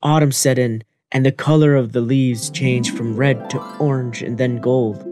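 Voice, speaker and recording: Male narrator, children's storybook, mellow dark tone, music behind. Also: mid-range voice, fairly even intonation, moderate pace.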